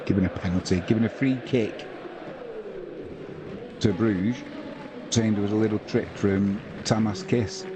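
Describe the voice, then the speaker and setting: Man, from England, low voice, live football watch-along commentary, chatty, noisy background. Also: steady tone, measured pace, long pauses. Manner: conversational play-by-play, reading out viewer comments. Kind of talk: live match commentary and chat with viewers.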